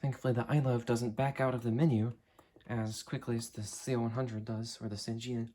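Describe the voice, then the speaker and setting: A young man in his late teens giving a casual, unscripted product demo in a halting, understated way. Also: medium pitch, little pitch movement, warm tone. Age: late teens, young adult. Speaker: hobbyist reviewer. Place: indoor room at a desk.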